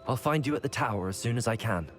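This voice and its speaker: A man voicing a young student who talks plainly and quickly. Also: mid-range voice, expressive, faint music behind, close to an everyday voice.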